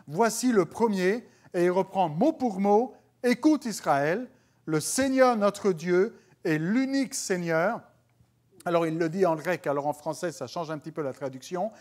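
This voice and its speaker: A man in his thirties with a French accent preaches from a church stage before dark curtains. His voice rises with emphasis whenever he quotes scripture. He speaks into a headset mic. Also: high-pitched. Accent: French (France). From France. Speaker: pastor. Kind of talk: sermon.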